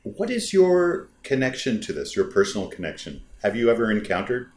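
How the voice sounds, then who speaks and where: A man interviewing a guest at a film festival, reading a scripted intro and then asking animated questions. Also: on the low side, fairly quick. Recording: roomy echo with faint music.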